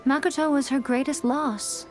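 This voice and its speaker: A woman's voice: high-pitched, steady tone, moderate pace, darkish tone, soft background music, natural voice. A woman voicing a sly, playful fox-spirit woman in a game, with a poised, teasing way of speaking. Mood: calm. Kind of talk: game dialogue.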